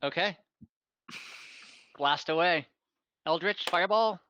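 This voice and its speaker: A man's voice: fairly high pitch, slow pace, sharp tone, lo-fi sound, a little hiss or hum. A man acting as game master, casually running an online tabletop role-playing game.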